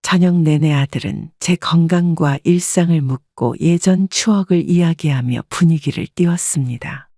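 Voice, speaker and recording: A woman narrating a scripted first-person family story in a calm, reflective tone. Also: low-pitched, normal speed, hardly any pauses, dry recording, clean studio sound.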